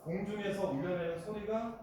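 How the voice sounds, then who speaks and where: A man's voice: high-pitched, a little fast, crowd noise. A man giving a lecture to an audience, talking conversationally and often asking the room questions. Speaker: guest lecturer. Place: meeting hall. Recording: echoey hall from far off.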